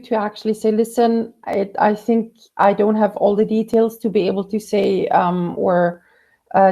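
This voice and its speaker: Casting note: woman, career coach, warm mellow tone, chatty and loose with "um"s and restarts. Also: mid-range voice. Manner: conversational, frequent fillers and restarts. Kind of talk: live Q&A career advice.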